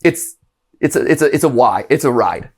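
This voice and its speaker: A man's voice: mid-range voice, expressive, normal speed, breathy edge. A man from Ohio in his thirties, hosting a casual reaction show and rambling animatedly, with frequent restarts and chuckles. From Ohio, USA. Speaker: host.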